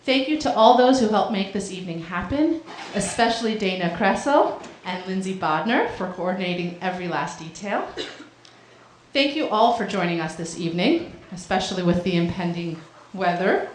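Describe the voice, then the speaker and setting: Woman, lecture-hall host reading prepared remarks; mid pitch, roomy reverb. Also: lively intonation, moderate pace, a little hiss or hum. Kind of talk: prepared welcome speech.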